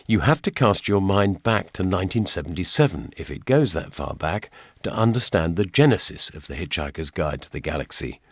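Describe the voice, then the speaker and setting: British male presenter, low voice, dry studio sound. Wry scripted documentary narration. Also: expressive, a little fast, few pauses, dry recording.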